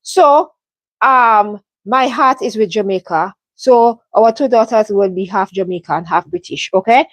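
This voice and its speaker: A woman's voice: mid-range voice, a little slow, dry recording. A woman from the Caribbean hosting a live stream, talking in an earnest, heartfelt way with many ums and restarts. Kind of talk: casual live stream update. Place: home in London.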